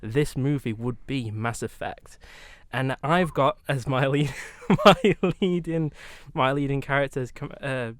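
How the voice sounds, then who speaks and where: A man chatting casually on a podcast panel, making his pitch in a halting, hesitant way. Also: no room sound, clean studio sound. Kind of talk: casual debate chat, pitching a film idea.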